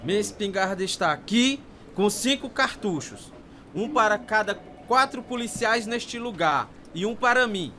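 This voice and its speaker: A man's voice: high-pitched, lively intonation, unhurried, pushed and bright, slight background noise, full character voice. An actor playing a troubled, bitter alcoholic man in a dramatized first-person radio story, speaking with a Brazilian accent and grave delivery. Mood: threatening, enraged. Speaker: narrator and protagonist of a radio testimony drama. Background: acoustic guitar music.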